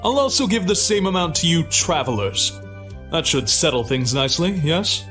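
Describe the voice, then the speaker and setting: Snooty, high-strung rich man. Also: animated, normal speed, a bit bright, faint music behind. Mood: smug. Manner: pompous, snooty. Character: haughty, entitled rich traveler. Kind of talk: English video game dub acting.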